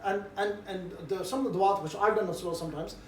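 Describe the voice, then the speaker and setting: Relaxed, cheeky man in an echoey room. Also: high voice, fast. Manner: cheeky, relaxed, jovial banter. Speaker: street preaching trainer. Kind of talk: informal group briefing. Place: indoor room with a group. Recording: roomy echo, distant mic, faint background noise.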